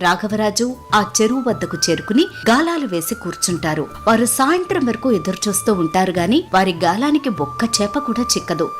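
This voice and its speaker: A woman narrating a scripted moral story as the storyteller. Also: mid-range voice.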